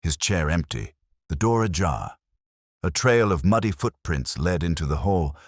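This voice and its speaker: Very deep-voiced male story narrator. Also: big pitch swings, a little slow, no room sound, studio quality. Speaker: audiobook narrator. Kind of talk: scripted narration of a Victorian detective story.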